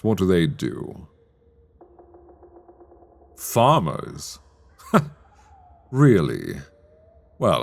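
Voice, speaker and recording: A man acting a sly, teasing master of a magic academy in a dark fantasy ASMR roleplay, speaking in measured, wry, formal phrases. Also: low-pitched, gravelly, exaggerated voice. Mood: probing, skeptical.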